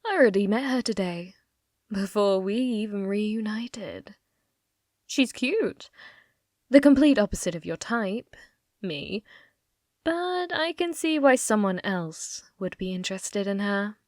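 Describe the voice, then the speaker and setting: A woman playing a possessive, manipulative schoolgirl childhood friend in an ASMR roleplay, coy and teasing, with breathy, sweetly menacing delivery. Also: medium pitch, animated, a little mellow, no echo, studio quality. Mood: smug, jealous. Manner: coy, teasing, drawn-out phrasing dropping into breathy whispers.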